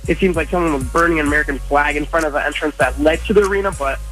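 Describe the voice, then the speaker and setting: Guy correspondent talking real quick, highish voice, matter of fact, on a radio interview. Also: a bit bright, poor audio. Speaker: convention correspondent. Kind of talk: casual spoken report in an interview. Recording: radio interview over faint music. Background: faint music.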